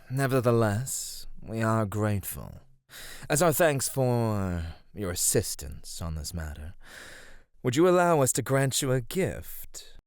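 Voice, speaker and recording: A man playing a flirty, amused god of radiance in an ASMR roleplay, teasing and theatrical, with soft laughs. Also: medium pitch, very animated, slow, no room sound, studio quality. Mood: gracious, teasing.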